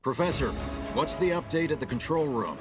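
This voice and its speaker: An actor playing a resolute military ship captain in a video game, briefing troops with firm authority. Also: male voice, fairly high pitch, expressive, a little fast, over loud music, lightly acted. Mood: commanding. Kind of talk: video game cutscene acting.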